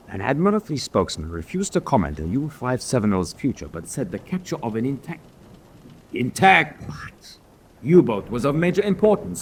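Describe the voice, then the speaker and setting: Animated, commanding officer. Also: male voice, mid-range voice. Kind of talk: radio drama acting. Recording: faint background noise.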